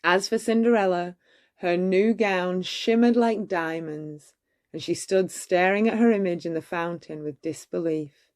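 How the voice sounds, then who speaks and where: A woman narrating a children's bedtime story in a measured, expressive storytelling manner. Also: on the low side. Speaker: storybook reader.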